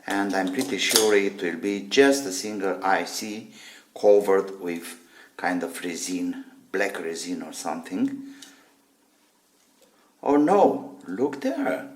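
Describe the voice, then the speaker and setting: Slow, low-pitched man thinking aloud. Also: lively intonation. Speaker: host of a gadget teardown video. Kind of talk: casual hands-on demonstration, unscripted commentary.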